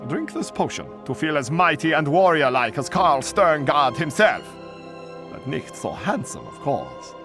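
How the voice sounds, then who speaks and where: An actor playing a vain potion-giver in a comic fantasy game, with a German accent and a brisk, comic manner. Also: male voice, on the high side, big pitch swings, music in the background. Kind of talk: video game cutscene acting.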